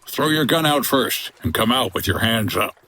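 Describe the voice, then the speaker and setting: An actor playing a cool-headed tough boat pilot, terse, wry and commanding, in a radio drama parody. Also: male voice, mid-range voice, lively intonation, normal speed, pushed and bright. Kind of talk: old-time radio detective drama parody.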